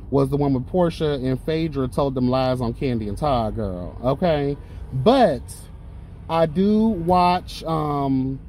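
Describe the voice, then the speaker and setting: A man's voice: medium pitch, warm tone. A young man from Florida, chatting while driving in a sassy, chatty way, calling out "girl" and "honey" as he talks about the shows he watches. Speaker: vlogger. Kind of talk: casual chat while driving. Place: inside a car, driving. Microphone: phone mounted on the dashboard. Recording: car phone recording with road noise. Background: car and traffic noise.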